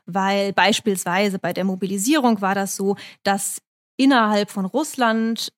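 A woman speaking a language that is not her first, answering interview questions in a measured, explanatory way with frequent hesitations. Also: medium pitch. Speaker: correspondent in Moscow.

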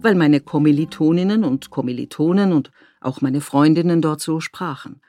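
A woman from Tyrol, narrating a documentary feature in a measured, reflective way. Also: sits low, warm tone, no echo, studio quality, faint music behind. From Tyrol, Austria.

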